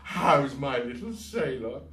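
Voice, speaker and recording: A man's voice: medium pitch, animated, slow, fairly bright tone, a little hiss or hum, voice pushed up. A man voicing a grand, gushing actress who trills her words. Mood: gushing theatrical. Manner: trilling, gushing, breaks into song. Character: theatrical grand actress woman, gushing diva. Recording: roomy echo.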